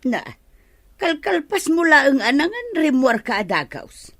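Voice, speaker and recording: A woman's voice: high voice, very animated, a little slow, fairly bright tone, heavily acted. A woman with an Ilocano accent playing a nagging, gossipy village wife in a radio comedy drama.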